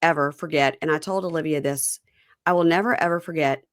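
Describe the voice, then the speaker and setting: A woman's voice: deep voice, brisk, dry recording, studio quality. Chatty Southern woman from Mississippi. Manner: chatty and animated, jumping between tangents. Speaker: podcast host. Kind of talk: casual reality TV recap chat.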